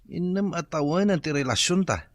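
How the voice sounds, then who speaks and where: A man's voice: on the high side, expressive, normal speed, no room sound, close to an everyday voice. A man acting a jealous, sulky young Filipino boyfriend with an Ilocano accent in a radio drama. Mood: jealous, accusing.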